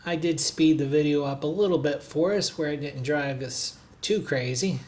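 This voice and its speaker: A man narrating a hotel and city tour for his travel vlog in a relaxed, chatty way, with frequent "uh" and "um" fillers. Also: a little high, normal speed. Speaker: travel vlogger, hotel reviewer. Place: recorded on the road in a noisy city.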